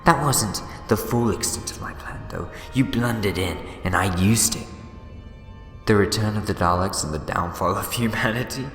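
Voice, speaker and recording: A man performs a smug villain in a sci-fi audio drama. He gloats and wheedles theatrically in a low voice, echoing heavily with music underneath. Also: normal speed, a bit bright, natural voice. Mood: gloating. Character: gleefully smug scheming male time-traveller villain. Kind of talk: fan audio drama acting. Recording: echoey long reverb, music behind.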